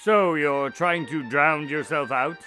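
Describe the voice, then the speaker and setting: An actor playing an earnest doctor and loyal detective's sidekick in an audio drama, speaking in a measured, articulate, conversational way. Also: male voice, a little high, bright, forceful tone, soft background music. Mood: exasperated, curious. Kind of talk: audio drama dialogue and storytelling.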